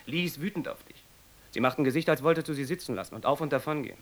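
An actor playing a casual, teasing brother in a radio play. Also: male voice, on the high side, rapid-fire, lightly acted. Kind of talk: German radio play acting. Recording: long reverb.

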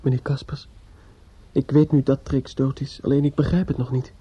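An actor playing a grief-stricken, distraught young man in a Dutch radio drama, speaking haltingly with stammers and repetitions. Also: mid-range voice, little pitch movement, warm tone, breathy edge. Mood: grieving, bewildered. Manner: halting, stammering, repeating himself. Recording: dull, slightly noisy old radio recording.

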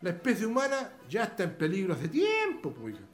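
A plain-spoken Chilean countryman acted by a man for radio theatre, scornful of the rich. His voice sits high and leaps about in pitch, with drawling exclamations over a music bed. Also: huge pitch swings. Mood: fed up, preaching. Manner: folksy rural speech with drawn-out exclamations. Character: outspoken rustic farmhand, idealistic and plain-spoken, scornful of the rich. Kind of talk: radio drama acting.

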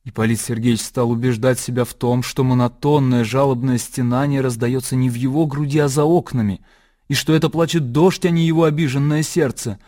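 A man narrating a classic story for a radio play in an expressive literary style. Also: medium pitch, fairly quick.

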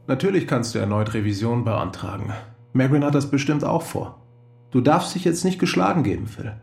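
A man's voice: medium pitch, fairly quick, creaky, darkish tone, natural voice. An actor playing an earnest, persuasive male friend in a radio drama.

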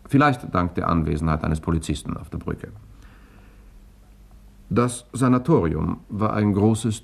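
A cool, wry reporter in a 1960s German radio crime serial. His voice sits very low, with a gravelly creak and a husky edge. He sounds dry and self-possessed. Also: a little mellow, full character voice. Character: cool, wry male journalist telling his own crime story. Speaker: lead actor and narrator.

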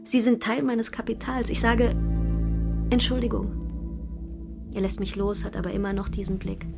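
A mid-pitched actress as a composed woman giving clipped diary entries in a radio play. She is matter-of-fact, with music clearly behind her. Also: steady tone, normal speed, close to an everyday voice. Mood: terse, controlled. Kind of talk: diary narration in radio drama.

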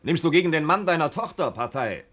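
Sneering farmer with swooping pitch. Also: male voice, expressive, fairly quick, fairly bright tone. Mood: scornful. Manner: sneering, cutting, overbearing. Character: hard, greedy, scornful farmer son-in-law. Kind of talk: 1950s German radio play acting. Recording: vintage 1950 radio recording.

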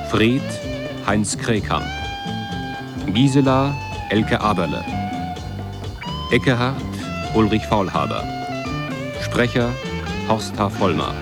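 Slow male announcer over loud guitar. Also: on the low side. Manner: measured, formal. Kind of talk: scripted radio credits announcement. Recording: loud guitar music behind.

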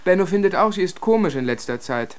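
An actor playing a reserved, dismissive doctor and adult son, terse and matter-of-fact, in a naturalistic radio drama. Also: male voice, sits high, expressive, fast talker, clean tone. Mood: curt, evasive.

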